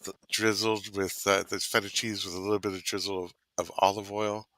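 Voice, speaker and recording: A man's voice: fairly low pitch, little pitch movement, fairly quick, a bit bright, no room sound, low-quality recording. A man from Canada co-hosting a casual online cooking chat, rambling and savoring his words. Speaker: co-host.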